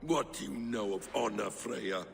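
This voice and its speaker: A man playing a sly, sharp-tongued Norse trickster god in a video game, mocking and insolent with quiet menace. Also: medium pitch, a little slow, vocal fry, a little hiss or hum. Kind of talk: dramatic video game cutscene acting. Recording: long hall reverb, music behind.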